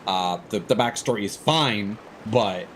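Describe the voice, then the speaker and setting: A man's voice: on the low side, a little slow, a bit bright, a little hiss or hum. A man hosting a game livestream, giving rambling, opinionated explanations in a casual, chatty way.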